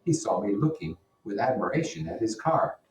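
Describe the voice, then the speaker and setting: Man, low pitch, read-aloud lesson, explanatory manner, distant mic, echoey room. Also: big pitch swings, moderate pace, darkish tone. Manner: explanatory, puts rhetorical questions to listeners. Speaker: teacher reading a novel aloud. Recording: roomy echo, distant microphone.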